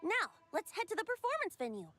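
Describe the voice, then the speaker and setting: A woman playing a youthful, androgynous bard in an anime game, playful and gentle, with a lilting storyteller's delivery. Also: very high voice, wildly swooping pitch, quick pace, loud music behind the voice, exaggerated voice. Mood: gentle, inviting.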